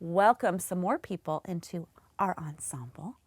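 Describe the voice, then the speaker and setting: A woman's voice: on the low side, a little slow, slightly rough, no room sound, studio quality. A woman hosting a children's read-aloud, speaking in an exaggerated, encouraging way to young children. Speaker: story-time host.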